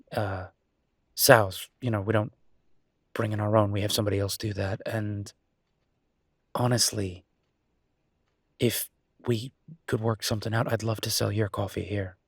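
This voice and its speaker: A man playing a casual, affectionate chef boyfriend in a roleplay audio, talking informally and a bit haltingly. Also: fairly low pitch, creaky, breathy edge, no echo, clean studio sound, voice pushed down, full character voice. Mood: hopeful.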